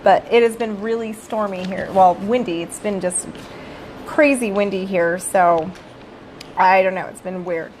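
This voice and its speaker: A middle-aged woman who directs a child care center, giving casual live-streamed advice. She is hoarse from losing her voice and keeps checking in with viewers as "you guys.". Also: mid-range voice, unhurried, a little mellow, slight background noise, rough recording. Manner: chatty, addresses viewers as "you guys", often tags "right?".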